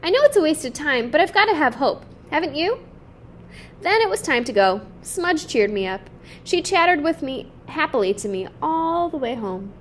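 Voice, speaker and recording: Picture-book dad out of work, read by a woman: weary, hopeful, plainspoken, high voice, faint noise behind. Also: very animated, moderate pace. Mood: wistful. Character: downhearted but hopeful unemployed father.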